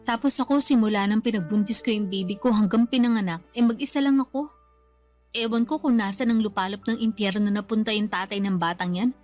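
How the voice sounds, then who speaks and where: A woman's voice: medium pitch, fast talker, a little mellow, faint music behind, close to an everyday voice. An actress playing a worn-out, bitter young single mother in a radio drama, speaking in a plaintive, complaining way. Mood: bitter, frustrated. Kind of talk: Tagalog radio drama acting.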